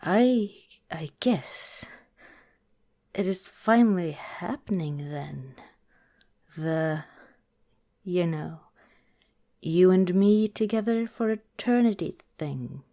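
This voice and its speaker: A woman playing a young, affectionate vampire girlfriend in an ASMR roleplay, speaking softly and hesitantly. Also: on the low side, expressive. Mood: tender, hesitant. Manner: soft, tentative, halting, intimate.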